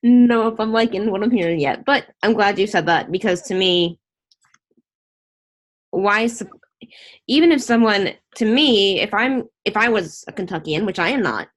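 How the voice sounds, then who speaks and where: A woman's voice: medium pitch, animated, normal speed. A woman hosting a casual online interview, chatty and opinionated, rambling with restarts.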